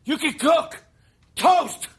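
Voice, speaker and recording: An actor playing a combative, desperate, hard-drinking brother in a raw, naturalistic stage drama, agitated and argumentative. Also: male voice, very high-pitched, animated, slow pace, a bit bright, heavily acted. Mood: heated, shouting. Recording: filmed stage play with faint noise.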